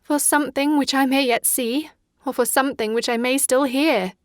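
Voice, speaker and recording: Actress playing a high-voiced anxious young Victorian lady. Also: fairly even intonation, normal speed, no echo, clean studio sound, close to an everyday voice. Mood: fixated, uneasy. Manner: fretful, intense, theatrical. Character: anxious, fragile young Victorian sweetheart, stubborn, full of foreboding. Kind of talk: audiobook drama acting.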